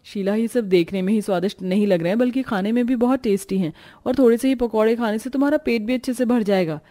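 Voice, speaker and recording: A woman voicing a poor young married woman in a cartoon story, gentle, concerned and practical. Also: fairly high pitch, quick pace, soft background music, natural voice. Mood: caring. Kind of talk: cartoon story character dialogue.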